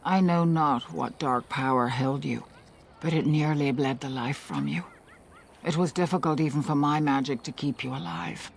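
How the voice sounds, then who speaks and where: A woman playing a grave, commanding older elf healer who leads her clan in a fantasy game, speaking formally and with measured solemnity. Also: deep voice, vocal fry, a little hiss or hum. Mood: grave, questioning. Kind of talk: fantasy game dialogue acting. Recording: music behind the dialogue. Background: background music.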